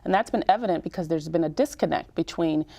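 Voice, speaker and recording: Low-voiced woman mayor, measured and explanatory. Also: vocal fry, warm tone. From Cameroon. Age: 30s. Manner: measured, explanatory, with emphatic lists. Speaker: town mayor, interview guest. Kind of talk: public-affairs interview.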